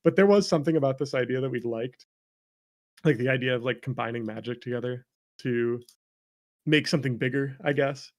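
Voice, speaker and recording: A man's voice: mid-range voice, a little fast. Man chatting in a rambling, jokey way. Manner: rambling, self-deprecating, jokey. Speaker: interview guest, game developer. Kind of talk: casual chat.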